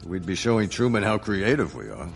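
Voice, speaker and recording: A senior spy chief in a radio drama. His low voice moves expressively, dry and sardonic, with curt authority. Music plays faintly behind. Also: very animated, a little fast, creaky, heavily acted. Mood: wry.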